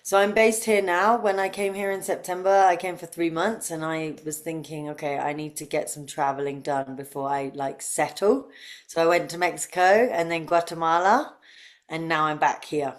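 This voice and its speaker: Low-voiced English woman. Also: steady tone, moderate pace. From UK, grew up near London. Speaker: interview guest, breathwork facilitator. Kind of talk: conversational interview answers.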